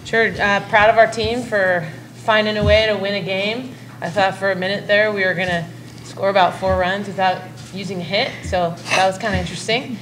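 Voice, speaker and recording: A middle-aged woman coach answering questions calmly at a postgame press conference, speaking into a table mic. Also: mid-range voice, normal speed, hardly any pauses, a little hiss or hum, poor audio. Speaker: head softball coach.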